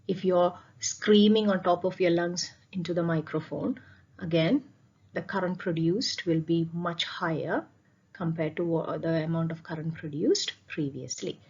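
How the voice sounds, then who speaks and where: Woman physics teacher, lowish pitch, explanatory with rhetorical questions and repetition, slightly muffled lo-fi sound. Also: unhurried, darkish tone, slightly rough. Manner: explanatory, repeats key points, asks rhetorical questions. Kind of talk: spoken classroom lesson over slides.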